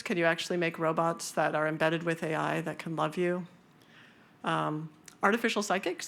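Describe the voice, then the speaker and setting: A woman's voice: gravelly, fairly bright tone. A woman speaking casually and with humor as a speaker at a scientific conference, with many fillers. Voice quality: high-pitched voice.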